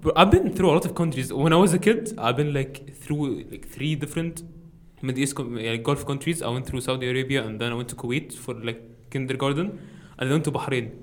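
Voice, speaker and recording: Fast-talking teenage boy. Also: mid-range voice. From Egypt. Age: teens. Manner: fast, animated, laughs often. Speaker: podcast co-host. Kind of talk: casual chat.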